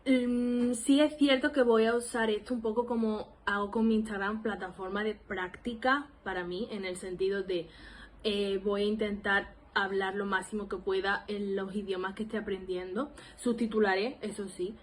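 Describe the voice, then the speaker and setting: A woman's voice: medium pitch, fairly even intonation, moderate pace, slight background noise. A woman in her twenties from Spain with an Andalusian accent, rambling casually to her camera as she introduces her language vlog. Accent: Andalusian Spanish. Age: 20s. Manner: rambling and self-deprecating, lots of laughs and fillers. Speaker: language learning vlogger.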